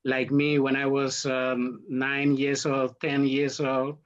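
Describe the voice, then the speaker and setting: A man from Honduras with a Garifuna accent, a native Garifuna speaker explaining history in an interview in halting English, searching for words. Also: medium pitch, completely flat delivery. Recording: dull lo-fi sound.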